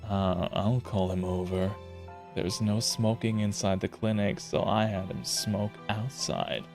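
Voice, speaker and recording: A man doing a soft-spoken creepy young doctor. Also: on the low side, fairly even intonation, a little slow, gravelly, faint music behind. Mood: calm.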